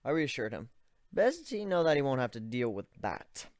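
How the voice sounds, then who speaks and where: A man narrating an amateur dramatic reading of a story in plain, steady storytelling. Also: mid-range voice, normal speed, no echo, studio quality.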